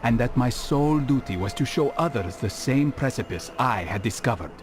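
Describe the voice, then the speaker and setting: A man playing a reflective, curious assassin in a video game cutscene, speaking in a formal, measured, philosophical way. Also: medium pitch, animated, music in the background, close to an everyday voice. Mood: solemn, reflective. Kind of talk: dramatic scripted game cutscene dialogue.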